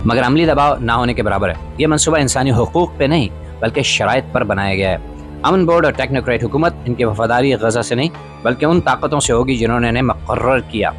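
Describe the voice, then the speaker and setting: A man narrating scripted political commentary as a podcast host, in a formal, literary manner with rhetorical emphasis. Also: medium pitch. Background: background music.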